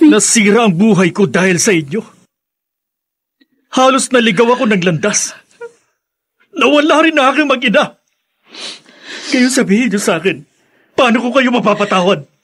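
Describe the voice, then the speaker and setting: Hurt bitter filipino son, radio drama, high rough voice, very emotional. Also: male voice, animated, moderate pace, pauses often, a bit bright, heavily acted. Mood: bitter, angry. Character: bitter wounded young man, estranged son.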